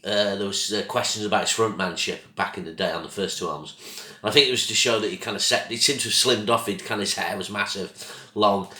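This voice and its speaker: A man hosting his own channel with a casual, rambling album review, his voice croaky from a throat infection, coughing now and then. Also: on the low side, pushed and bright, reverberant. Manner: rambling, loses track of names, apologetic.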